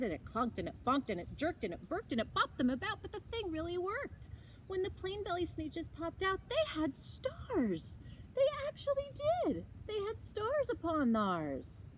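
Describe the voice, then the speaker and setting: A woman reading aloud to children as a storyteller, expressive and emphatic, on a noisy home recording. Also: high voice, normal speed. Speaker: library storyteller. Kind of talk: animated children's read-aloud.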